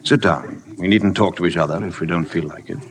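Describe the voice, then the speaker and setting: An actor playing an aloof, dryly ironic wealthy gentleman in golden age radio drama style, curt and commanding under formal politeness. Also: deep voice, fairly quick, a little hiss or hum. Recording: vintage radio broadcast with faint music.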